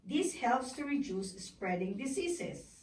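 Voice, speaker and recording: A woman acts out a polite female elephant puppet with a high voice and slow, earnest delivery. She sounds distant in an echoey, noisy room, like a guest on a children's puppet show. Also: sing-song, darkish tone, full character voice. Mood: explaining. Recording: echoey, distant, with background noise.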